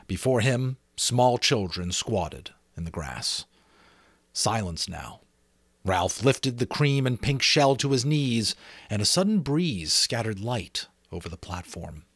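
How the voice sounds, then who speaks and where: A man's voice: fairly low pitch, sing-song, takes it slowly, a bit bright, husky, no echo. A man narrating expressively in an audiobook style, as an amateur host with a casual touch.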